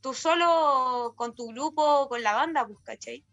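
A Chilean woman talking casually as a guest singer, her high voice bright and sharp. She speaks in loose slang and keeps tagging her points with a quick question. Faint music sits underneath. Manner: slangy, tags sentences with a question. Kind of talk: casual conversation.

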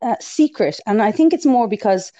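A woman talks as a guest in a relaxed interview, her voice sitting low for a woman. She tells stories warmly and readily, acting out her own inner voice. The sound is dry and close, with no room around it. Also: normal speed, no echo, dull audio. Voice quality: lower voice for a woman. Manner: chatty storytelling with self-quotes and laughs. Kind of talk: casual interview chat.

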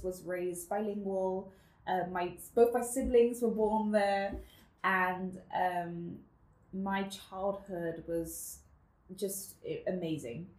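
A woman's voice: lowish voice, darkish tone, roomy sound, rough recording. A woman from the Netherlands in her twenties, a guest telling her life story in a casual interview, reflective, laughing often and using many fillers.

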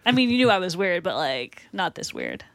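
A woman's voice: fairly low pitch, wildly swooping pitch, brisk, creaky, fairly bright tone, no room sound. A woman with a Boston accent chatting casually as a podcast co-host, blunt and chatty. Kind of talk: casual chat.

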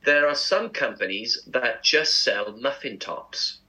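Man, bright forward tone, slightly husky, chatty and enthusiastic, casual radio chat. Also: mid-range voice, animated, slow pace, low-quality recording. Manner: chatty, enthusiastic food talk. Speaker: radio show co-host.

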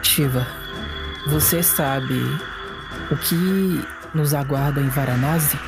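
A man's voice: fairly high pitch, slow pace, over music. Man as a firm, objecting young cowboy. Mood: earnest. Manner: firm, questioning, emphatic. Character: Billy Boy, firm, objecting cowboy from the future. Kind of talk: tabletop roleplay in character.

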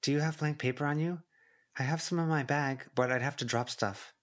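A man voicing an earnest, careful teenage girl with a gently firm way of talking in an audiobook. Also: a little high, a little fast, voice pushed up, close to an everyday voice. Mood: earnest, practical.

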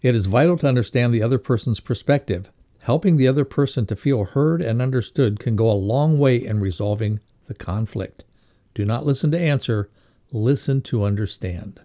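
A man reading a motivational lesson aloud as a co-host, in a measured, instructive way. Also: mid-range voice, dark tone.

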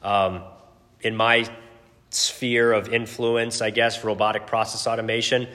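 A man gives an informal sermon in a low, booming voice. He talks conversationally and modestly, pausing and slipping in casual asides as he explains a passage. The sound carries long reverb, as in a large meeting hall. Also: level tone, bright, forceful tone. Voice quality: deep, booming voice. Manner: conversational, self-deprecating, hesitant pauses with casual asides. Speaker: preacher teaching a Bible study.

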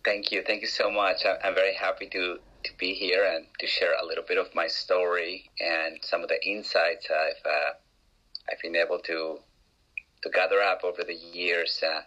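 A man in his forties from Peru with a Peruvian accent, a guest telling his life story in an interview, with frequent pauses and restarts. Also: sits low, flat delivery, bright, forceful tone, rough recording. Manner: hesitant, many restarts and uh fillers.

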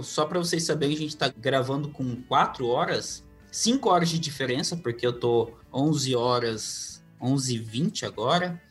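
A man with a Brazilian accent hosting a podcast in an informal, chatty, conversational way. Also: medium pitch. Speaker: podcast host. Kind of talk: conversational podcast introduction and interviewing.

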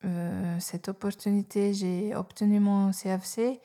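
A woman in her forties from Hungary, speaking French with a Hungarian accent as a podcast guest, hesitant and halting with many fillers and restarts. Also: mid-range voice, flat delivery, warm tone. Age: 40s. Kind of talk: podcast interview.

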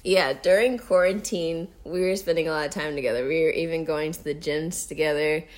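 A woman's voice: deep voice, fairly quick. A woman co-hosting a casual podcast, chatty and upbeat, with plenty of fillers. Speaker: podcast co-host. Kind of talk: casual chat.

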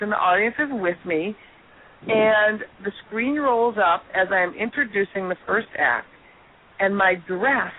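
A hoarse middle-aged woman, a comedian and guest, telling a self-deprecating story in an animated way on a radio interview. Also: mid-range voice. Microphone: telephone. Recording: tinny phone line.

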